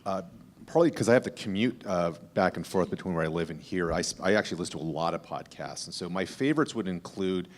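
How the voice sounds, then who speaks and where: Low-voiced man talking on a panel. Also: lively intonation, quick pace. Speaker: podcast host on a panel. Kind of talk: conversational panel talk.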